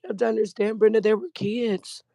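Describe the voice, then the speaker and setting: A woman guest on a livestream, her voice going hoarse, explaining her point earnestly with many fillers. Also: fairly high pitch, level tone, brisk, dark tone, no echo. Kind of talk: casual livestream chat.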